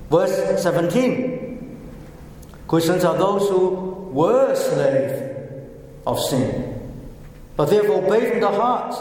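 A man whose first language is Chinese, preaching a sermon slowly and repeating key phrases for emphasis, in an echoey hall. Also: high-pitched, a little mellow. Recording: echoey hall, heard from a distance.

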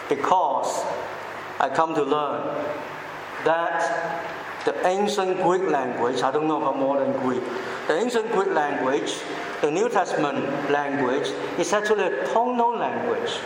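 A man with a Cantonese accent, a native Cantonese speaker, preaching a sermon in short, deliberate phrases in a large church hall. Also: fairly high pitch. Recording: echoey hall with background noise.

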